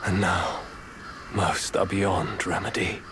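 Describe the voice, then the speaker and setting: A sly, courteous monster disciple. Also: male voice, sits low, fairly even intonation, slow, background noise. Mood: solemn. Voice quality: soft-spoken, sly, whispery. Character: scheming male Taoist disciple, secretly monstrous, courteous then hungry. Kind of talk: video game cutscene acting. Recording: game audio over ambience.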